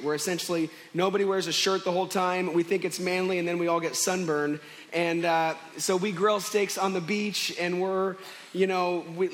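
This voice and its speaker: A young man preaching as pastor at a church service, hoarse and chatty, joking with fillers and restarts. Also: sits high, a bit bright, slight background noise. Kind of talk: casual sermon.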